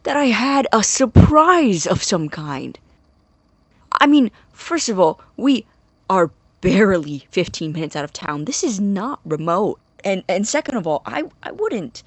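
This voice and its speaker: A woman playing a nervous, awkward young scientist in a naturalistic audio drama, husky and halting, easily flustered. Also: mid-range voice, big pitch swings, no room sound. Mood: shocked, indignant. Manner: halting, stammering, indignant when challenged.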